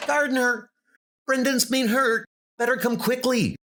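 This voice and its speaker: A man's voice: extremely high pitch, steady tone, measured pace, bright, forceful tone, pitched above the performer's own voice, full character voice. A man voicing an alarmed male knight who raises the alarm in an urgent, breathless way.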